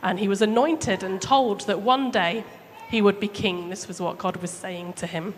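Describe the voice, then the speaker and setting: A woman's voice: mid-range voice, moderate pace. A woman telling a story to children in a chatty, simple, interactive way. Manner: chatty, asks listeners questions, addresses children simply. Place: church hall during a service. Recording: echoey hall with background noise.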